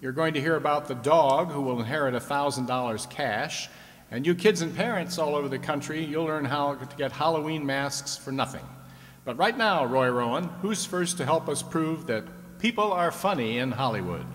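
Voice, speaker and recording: A man's voice: a little high, expressive, moderate pace, a bit bright. In a reverberant, noisy hall, a man acts out a genial old-time radio game show host. He keeps up playful, teasing patter with a folksy touch, like a 1950s audience show. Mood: upbeat, welcoming. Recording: echoey hall, clear background noise.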